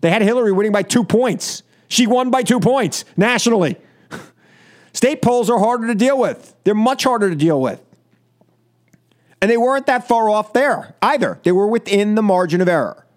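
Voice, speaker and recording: High-pitched, animated American man, combative radio host. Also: moderate pace. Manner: combative, emphatic, repeats phrases for effect. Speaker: political talk radio host. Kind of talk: opinionated call-in radio monologue.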